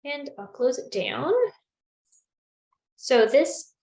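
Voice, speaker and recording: A woman's voice: sits high, very slow, vocal fry, a little mellow. A young woman presenting a bird identification lesson on a video call, friendly and explanatory with frequent ums, slightly losing her voice. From Newfoundland. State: losing her voice, clearing throat. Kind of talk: online webinar lesson. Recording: echoey room on a video call.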